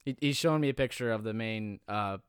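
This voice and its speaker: A man chatting on a casual podcast, halting and stammering as he explains, with giggles between. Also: lowish voice, smooth, no room sound. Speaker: podcast co-host. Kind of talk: casual chat among friends.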